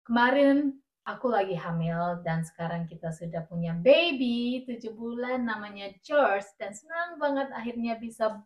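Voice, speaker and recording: A woman's voice: fairly high pitch, lively intonation, normal speed, reverberant. A woman with a Batak accent hosting a podcast in a cheerful, chatty way. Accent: Batak-tinged Indonesian. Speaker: podcast host. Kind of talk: casual podcast chat.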